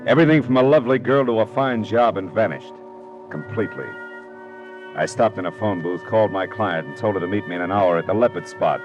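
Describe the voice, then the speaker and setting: A hard-boiled American private eye with a low voice. He is clipped, wry and world-weary, in the manner of 1940s radio drama. Music sits clearly behind him on an old radio recording. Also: lo-fi sound. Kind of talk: 1940s radio drama acting and first-person narration.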